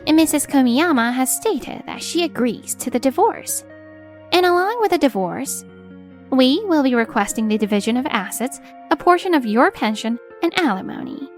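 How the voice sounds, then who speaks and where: A woman playing a composed, steely attorney in a manga dub, speaking formally and with measured assertiveness. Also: high-pitched, animated, faint music behind. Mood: formal, firm. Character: composed, steely female attorney confronting a husband. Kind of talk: acted manga dub dialogue.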